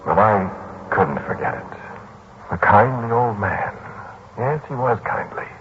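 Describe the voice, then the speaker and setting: Radio play lead: brooding mathematician narrator, very deep, hesitant and ruminative, on a dulled vintage broadcast. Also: male voice, expressive. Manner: hesitant, ruminative, theatrical pauses. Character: brooding male mathematician lodger recounting a haunting mystery. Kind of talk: 1940s radio drama narration. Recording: muffled, noisy 1940s radio broadcast.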